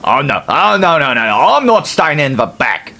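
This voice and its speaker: A man's voice: medium pitch, sing-song, moderate pace, sharp tone. A man voicing a rude, arrogant steam engine in an audio story, sneering and grumbling his insults. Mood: haughty scorn. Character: rude, arrogant male express steam engine, scornful and impatient.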